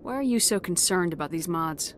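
A woman's voice: lowish voice, lively intonation, a little fast, a little hiss or hum, close to an everyday voice. Woman as a firm female commander. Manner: level, direct. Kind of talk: video game cutscene acting. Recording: game audio with loud score.

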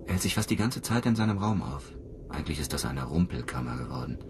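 A deep-voiced, brooding lonely cosmonaut. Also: male voice, very animated, fast, background noise. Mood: subdued. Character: lonely, embittered male cosmonaut aboard a space station. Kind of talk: German radio drama acting.

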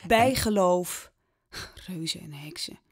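A woman's voice: on the low side, lively intonation, takes it slowly, slightly rough, heavily acted. An actress playing a proud, stubborn young peasant woman in an audio drama, headstrong and sarcastic. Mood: sarcastic, defiant. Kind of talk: Dutch audio drama acting.

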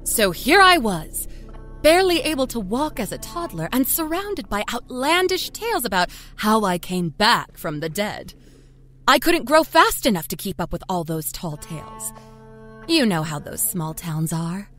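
A woman playing a sly, folksy small-town Southern woman, a self-confessed liar confiding her dark life story in a wry dramatic narration. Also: medium pitch, sing-song, a little slow, soft background music. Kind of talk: dramatic first-person horror story narration. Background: musical score.

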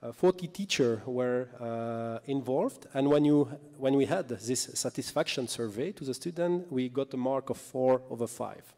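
A man in his thirties presenting on a conference panel in a halting, hesitant way, speaking into a table microphone. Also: mid-range voice, expressive, mellow. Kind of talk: semi-scripted presentation. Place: indoor hall, panel table. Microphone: table microphone on stand. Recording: reverberant hall sound.

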